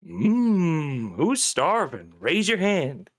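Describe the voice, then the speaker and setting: A woman's voice: fairly low pitch, big pitch swings, very slow, no room sound, studio quality, exaggerated voice. A woman playing a goofy, cheerful, doting father in a screenplay table read, laid-back and jokey. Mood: mock solemn, jokey.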